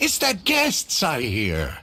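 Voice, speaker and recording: An actor playing a kindly, humble old village chief with failing eyesight, speaking in a gentle, polite manner in a video game. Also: male voice, medium pitch, huge pitch swings, takes it slowly, noisy background. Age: older.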